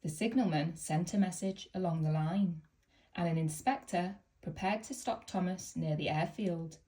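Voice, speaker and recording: A woman reading a children's picture book aloud in a gentle, expressive storytelling manner. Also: fairly low pitch, moderate pace.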